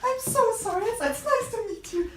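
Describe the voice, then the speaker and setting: Squeaky frantic fake voice. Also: female voice, lively intonation, a little fast, slight background noise. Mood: flustered. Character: giddy flustered woman with a squeaky fake voice. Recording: roomy echo from far off.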